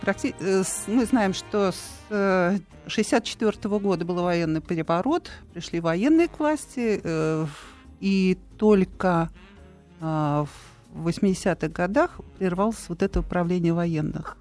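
A woman's voice: lowish voice, unhurried, darkish tone, faint music behind. A middle-aged woman, a guest on a radio talk show, explaining at length with many hesitations. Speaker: guest economist.

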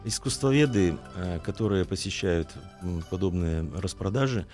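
A man speaking as an interview guest, explaining in a measured, halting way and choosing words carefully. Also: sits low, lively intonation, darkish tone, faint music behind. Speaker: studio guest, art collector.

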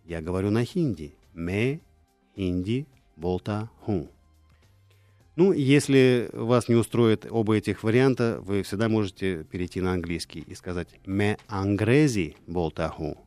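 Low-voiced man explaining on radio. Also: measured pace, a little mellow, dry recording, faint music behind. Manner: lecturing, with frequent hesitation fillers. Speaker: expert guest on languages of India.

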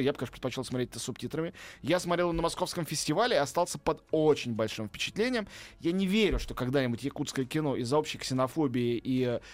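Middle-aged male critic, slightly high pitch, opinionated, enthusiastic. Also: no room sound. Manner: enthusiastic, opinionated, many fillers. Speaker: film critic. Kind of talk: radio film review chat.

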